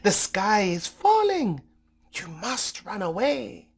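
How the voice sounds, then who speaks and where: A woman's voice: mid-range voice, very animated, takes it slowly, breathy edge, heavily acted. A woman voicing a clever, bossy but helpful male trickster spider in a storybook, with urgent, emphatic delivery. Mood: urgent pleading.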